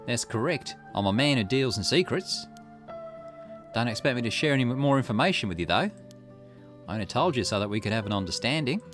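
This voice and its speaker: A man's voice: mid-range voice, animated, brisk, fairly bright tone, natural voice. A man voicing an eccentric, secretive private detective in a visual novel, lecturing pompously. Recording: music behind the voice.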